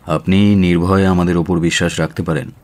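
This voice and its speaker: An actor playing a cool, self-assured detective in a radio drama, courteous but firm and composed. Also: male voice, sits low, flat delivery, brisk, darkish tone, lightly acted. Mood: reassuring. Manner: composed, courteous, firm, drops in English phrases. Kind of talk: Bengali radio drama acting.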